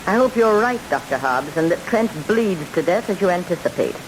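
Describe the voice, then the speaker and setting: An actress playing an embittered, imperious old woman in a radio drama, speaking coldly and deliberately. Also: lowish voice, animated, lightly acted. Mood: cold, bitter. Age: older. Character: embittered, vengeful old matriarch refusing consent. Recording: hissy old radio broadcast recording.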